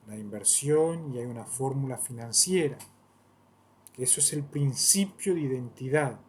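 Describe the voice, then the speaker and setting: A man's voice: mid-range voice, a little mellow, husky. A man giving a long, rambling monologue in a solemn, declaiming way, repeating phrases for emphasis. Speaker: self-styled theologian.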